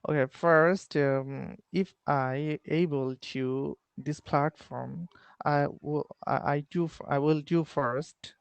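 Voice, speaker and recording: Bangladeshi man, English learner on a video call, gravelly yet smooth, dry sound, hesitant and full of fillers. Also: on the high side, steady tone, dry recording. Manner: halting, many fillers and restarts. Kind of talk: online English lesson conversation.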